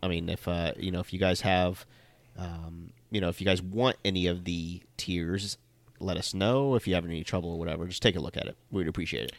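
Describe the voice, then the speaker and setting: A man's voice: low-pitched, fairly even intonation, a little fast, dry recording. A young man with a nasal, stuffy voice, getting over a cold and chatting casually as a podcast co-host, rambling with lots of fillers. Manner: rambling, laughs often, filler-heavy. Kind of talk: casual chat.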